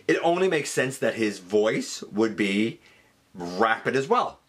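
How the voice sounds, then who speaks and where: A man's voice: mid-range voice, a bit bright, slightly rough. A man hosting a countdown with enthusiastic commentary, wordy and theatrical in his emphasis, dropping into conversational asides. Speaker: host of a countdown video.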